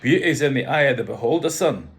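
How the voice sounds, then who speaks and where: A man voicing a blunt, commanding older Scottish father in a storybook, with a slightly rough voice and accent. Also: medium pitch, expressive, quick pace, a bit bright. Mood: blunt, opinionated. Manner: bellowing, blunt, imperious.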